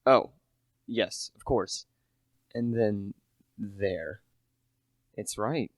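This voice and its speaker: A man's voice: lowish voice, drawn-out words, no echo. A teenage male actor playing an earnest, humble British salesman in a radio play, chatty and a little hesitant. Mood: puzzled, admiring. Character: earnest, humble British relish salesman narrating a murder mystery.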